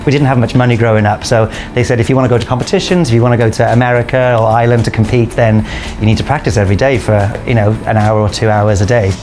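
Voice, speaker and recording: A man talks casually and at ease in an interview, poking gentle fun at himself. His voice sits at a middling pitch. Music plays clearly behind him. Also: steady tone, fast talker. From Wales. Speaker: Irish dancer in a comedy circus show.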